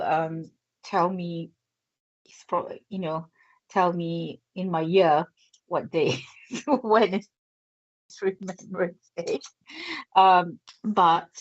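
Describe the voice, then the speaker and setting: A woman with a Yorkshire accent, working as a psychic medium, speaks slowly and hesitantly, pausing on fillers as she thinks aloud. The recording is dry and a little dull, with no room sound. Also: medium pitch, dry recording. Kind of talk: live psychic reading on air.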